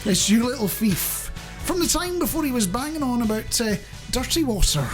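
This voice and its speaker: A man's voice: extremely high pitch, big pitch swings, moderate pace, music in the background. A man presenting a radio show in a chatty, rambling, jokey way, speaking directly to the listener.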